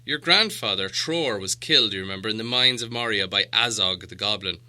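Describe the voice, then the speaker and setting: A man's voice: fairly low pitch, brisk, bright, forceful tone. A man voicing a stern, impatient old wizard in a storybook, speaking emphatically and with grim self-assurance. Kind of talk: storybook character voice.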